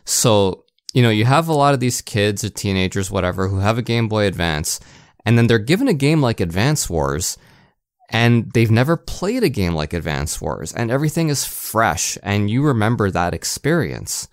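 This man has a clear, smooth voice on the low side, recorded dry and close. He sounds like a podcast host in a casual game discussion. His delivery is conversational, punctuating points with little rhetorical check-ins. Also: very animated, normal speed, no echo.

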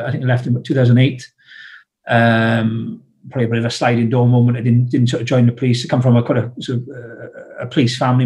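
A man's voice: medium pitch, darkish tone. A man with a South Welsh accent and a slight cough, talking over a video call as an interview guest, hesitant and full of restarts. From South Wales. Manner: hesitant, many restarts and fillers. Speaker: guest, ex-Royal Marine.

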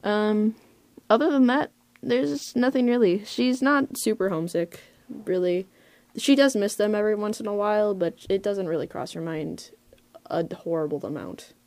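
A woman's voice: mid-range voice, normal speed, darkish tone, no room sound. A woman giving a casual interview as a voice actor, hesitant and piecing their thoughts together while talking. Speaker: voice actor being interviewed about their role.

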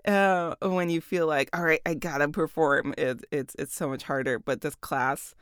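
A woman voice actor with a deep voice, chatting casually about her work, laughing often and using lots of fillers. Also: steady tone, normal speed, clear, resonant tone, no room sound, studio quality. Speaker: voice actor and audiobook narrator.

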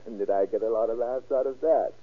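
A man's voice: medium pitch, narrow, tinny audio, close to an everyday voice. Man playing a gleeful convicted killer, mocking, boastful, old-time radio crime drama, thin band-limited sound. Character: gloating, laughing convicted killer in his cell. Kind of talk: 1930s-50s radio crime drama acting.

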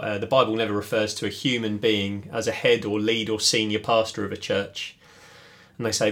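A man, talking things over in a relaxed podcast conversation. He thinks aloud as he goes, pausing on fillers and restarting his sentences. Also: fairly low pitch. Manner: thoughtful, many fillers and restarts. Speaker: podcast co-host. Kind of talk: casual reflective chat.

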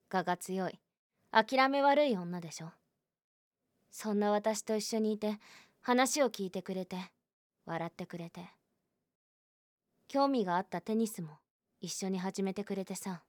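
A woman playing a stubborn, lonely high school girl who hides her weakness, speaking in a quiet, confessional voice in a voice drama. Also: no room sound, studio quality, natural voice. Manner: quiet, confessional, rising to anguished outbursts. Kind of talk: voice drama acting.

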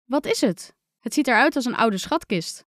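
A woman's voice: on the high side, animated, normal speed, fairly bright tone, dry recording, clean studio sound. A woman voicing an eager, encouraging young boy captain in a children's adventure story. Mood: curious. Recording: music under the voice.

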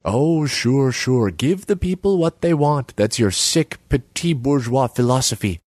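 A man's voice: mid-range voice, expressive, measured pace, darkish tone, no echo, studio quality, voice pushed up. A man putting on a haughty young woman artist. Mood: haughty lecturing. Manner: scornful, lecturing, self-important.

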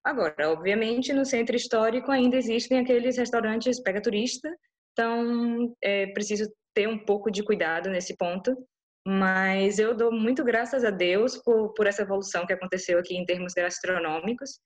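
A woman's voice: medium pitch, moderate pace. A woman from Bahia with a Brazilian Portuguese accent, answering interview questions in an enthusiastic, chatty way with many restarts. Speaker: interview guest.

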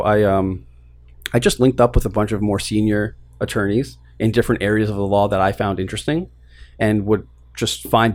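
A young man from Queens, New York, talking casually as an interview guest about starting his business, easygoing and self-deprecating with frequent restarts. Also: fairly low pitch. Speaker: guest, lending business co-founder.

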